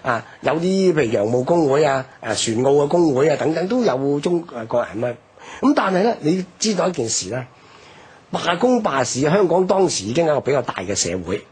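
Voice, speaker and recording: A man with a Hong Kong Cantonese accent gives an unscripted, emphatic explanation as a history commentator on radio, his voice husky and rough. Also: on the high side, very animated, brisk, darkish tone. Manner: explanatory, emphatic, frequent rhetorical tags. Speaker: history commentator, programme guest.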